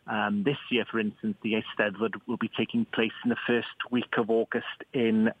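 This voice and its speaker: A man's voice: lowish voice, level tone, normal speed, husky, dry recording. A Welsh man, a tourism board spokesman with Welsh as his first language, speaking in a radio phone interview, hesitant with many ums and restarts. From Wales. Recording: tinny phone line.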